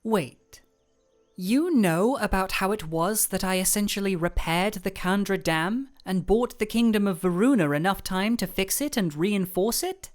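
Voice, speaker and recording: A woman playing a cool, composed young ice royal with dry wit in a fantasy audio roleplay, speaking in a measured, formal, teasing way. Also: mid-range voice, expressive, soft background music, lightly acted. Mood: measured, probing.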